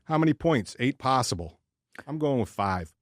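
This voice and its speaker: A man's voice: mid-range voice, animated, moderate pace, dry recording, studio quality. A man co-hosting a casual sports podcast, chatting informally and jokingly, often stammering and repeating his words. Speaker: podcast co-host.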